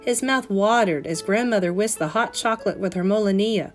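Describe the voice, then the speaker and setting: A woman, a teacher, reading a children's picture book aloud in an expressive, carefully phrased, dramatic manner. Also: medium pitch, a little fast. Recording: soft guitar music behind voice. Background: plucked guitar music.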